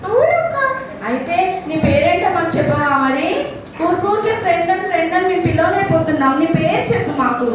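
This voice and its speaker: A woman's voice: extremely high pitch, quick pace. A woman hosting a live children's puppet show, coaxing and playful, often putting questions to the children. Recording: distant echoey hall, loud children's crowd noise.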